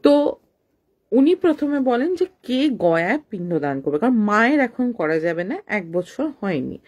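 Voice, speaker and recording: A woman's voice: mid-range voice, big pitch swings, normal speed, darkish tone. A woman telling a supernatural story in an informal, conversational way, mixing in English words. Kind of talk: informal spoken horror storytelling.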